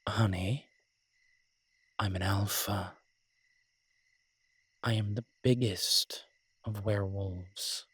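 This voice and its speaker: Male actor as devoted alpha werewolf lover for romantic audio drama: low, even, intimate, teasing and protective. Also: husky, no echo, exaggerated voice. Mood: playful, teasing. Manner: tender, teasing, unhurried pauses.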